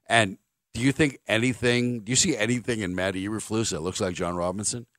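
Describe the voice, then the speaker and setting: A man's voice: lowish voice, steady tone, brisk, a bit bright, dry recording, clean studio sound. A man hosting sports talk radio, giving an opinionated monologue in a blunt, emphatic way, repeating phrases for stress. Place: dry radio studio.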